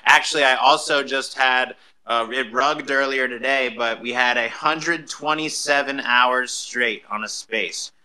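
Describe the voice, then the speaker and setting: A man with a very bright, forward voice hosts a live audio show. He talks in a chatty, enthusiastic way, welcoming and upbeat in his delivery. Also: medium pitch, a little slow, a little hiss or hum, rough recording. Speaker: host of an online audio show. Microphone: live social audio room call.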